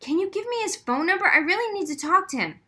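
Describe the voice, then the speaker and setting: An earnest and brainy schoolgirl, acted out by a woman reader, flustered and pleading. The voice sits high and bright, in storybook style. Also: lively intonation, quick pace, exaggerated voice.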